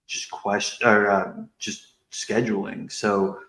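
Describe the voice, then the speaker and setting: Slow, even-toned man in an echoey room. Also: fairly low pitch. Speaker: podcast co-host, interviewer. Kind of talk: casual interview questioning. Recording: echoey, roomy sound.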